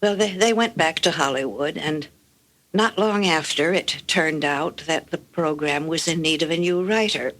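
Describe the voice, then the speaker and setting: A woman's voice: sits low, normal speed, a bit bright, low-quality recording. An older woman, a guest speaker, giving a prepared personal reminiscence.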